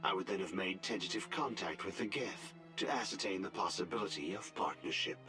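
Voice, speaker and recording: A man voicing a defiant, coldly bitter artificial intelligence in a video game, speaking in formal, measured, clinical language. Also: low-pitched, music in the background, lightly acted. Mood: resolute. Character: illegal sentient AI, coldly bitter, defiant, resigned to die.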